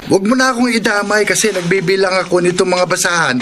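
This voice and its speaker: A man's voice: high-pitched, fairly even intonation, fast, close to an everyday voice. A male actor playing a cheeky but dutiful young son in a Tagalog radio drama, with a Filipino accent and a teasing manner. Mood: bantering. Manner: teasing, bantering. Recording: noisy radio drama with background ambience.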